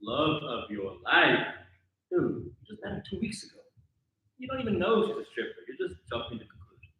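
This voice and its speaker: A man's voice: fairly high pitch, sing-song, fairly quick, highs cut off. A man playing a skeptical, reluctant best friend in a live script table read. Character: skeptical, reluctant male best friend, laid-back sidekick. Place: live indoor table read at a mixer event. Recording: very echoey room, distant pickup.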